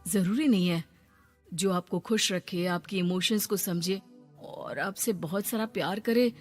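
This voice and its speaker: Cartoon casting: woman as sincere young male suitor, gentle, pleading, pitched down, soft music underneath. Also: measured pace. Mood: earnest, smitten. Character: smitten gentle earnest young man suitor. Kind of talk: comic Hindi cartoon dialogue.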